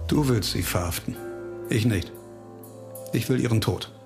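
An actor playing a grim, hard-bitten police investigator in a radio crime drama, speaking insistently and probingly, with music behind him. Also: male voice, fairly low pitch, unhurried, gravelly. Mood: cold, resolute.

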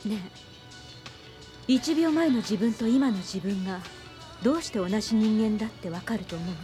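An actress playing a composed female doctor in a radio drama, calm and articulate, probing and gently reassuring. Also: mid-range voice, normal speed, soft background music, lightly acted. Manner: calm, articulate, philosophical explaining.

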